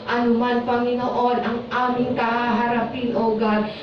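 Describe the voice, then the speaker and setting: Echoing, slow-praying Filipino woman. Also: a little high, little pitch movement, a little hiss or hum. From Philippines. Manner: devout, pleading, repeatedly calling on God in short phrases. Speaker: prayer leader at a church service. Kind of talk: fervent spoken closing prayer with benediction. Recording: echoey church hall, distant muffled mic, music behind. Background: worship music.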